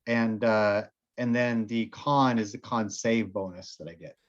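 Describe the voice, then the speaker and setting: A man's voice: on the low side, steady tone, measured pace, dull audio. A man playing in a tabletop game, with a nasal voice, hesitant and full of "uh" as he tallies damage. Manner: hesitant, lots of "uh" while counting damage. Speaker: player. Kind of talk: casual tabletop game talk.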